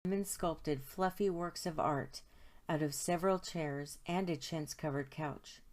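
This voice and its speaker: A woman with a low-pitched voice reads a picture book aloud for children. Her delivery is expressive and deliberate, and she draws out key words for emphasis as a storyteller would. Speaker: storytime host reading aloud.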